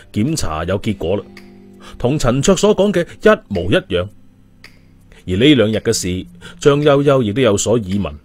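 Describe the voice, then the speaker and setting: A man narrates an audiobook in an expressive, storytelling style. His intonation is lively and his pitch sits in the middle, over faint music.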